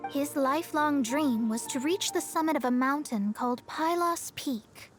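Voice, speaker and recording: A woman's voice: sits high, moderate pace, natural voice. A woman playing a proud, self-assured young female astrologist in a video game, speaking in a haughty, articulate, explanatory way. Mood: explaining. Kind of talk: video game character acting. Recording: music behind the voice.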